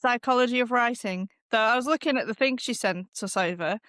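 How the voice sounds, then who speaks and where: A woman's voice: on the high side, brisk, fairly bright tone, no room sound. Giggly West Midlands woman co-host. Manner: giggly, loose and rambling. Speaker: podcast co-host. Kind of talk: casual podcast chat.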